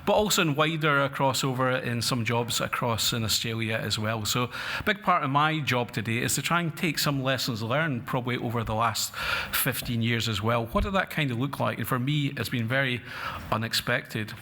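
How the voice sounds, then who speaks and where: Bright-voiced Scottish man at a lectern. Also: medium pitch, normal speed. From Scotland. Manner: earnest, with frequent fillers and restarts. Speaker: conference speaker. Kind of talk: conference keynote talk. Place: conference hall. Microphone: lectern mic.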